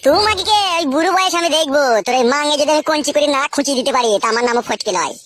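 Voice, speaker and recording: A woman's voice: sits high, lively intonation, rapid-fire, fairly bright tone. A woman voicing a defiant, aggrieved young married son in a comic cartoon, whining and cursing in a rural West Bengal accent. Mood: defiant, taunting.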